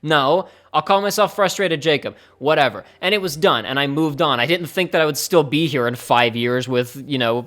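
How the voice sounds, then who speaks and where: A young man with a fairly high-pitched voice, talking to his viewers in a rambling, candid way during a live stream. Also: fairly bright tone. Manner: rambling, candid, speeds up and trails off. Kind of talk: casual live-stream talk to chat.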